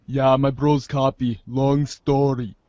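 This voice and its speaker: A man's voice: mid-range voice, flat delivery, slow, dark tone, no echo, highs cut off, natural voice. A man playing a too-cool, rambling teenage slacker who is uneasy underneath, casual and sweary, in a fan theater reading. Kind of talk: read-along fan theater.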